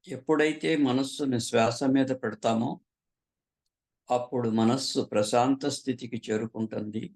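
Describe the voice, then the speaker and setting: A man leading a guided meditation as a teacher, calmly and unhurriedly, pausing often between short phrases. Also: mid-range voice. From southern India.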